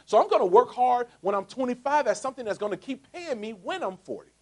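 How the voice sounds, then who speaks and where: A man in his forties giving a motivational sales talk to a live audience. He is jokey and asks the crowd rhetorical questions, swinging between loud punchy lines and quiet asides. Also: very high-pitched, lively intonation, fast. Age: 40s. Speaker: speaker pitching a business opportunity.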